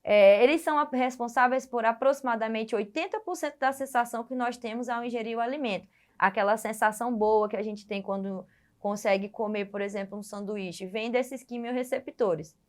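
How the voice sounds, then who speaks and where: A Brazilian woman, a biology teacher, giving a recorded lesson in an explanatory way, often pausing on fillers and restarting phrases. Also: on the high side. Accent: Brazilian Portuguese.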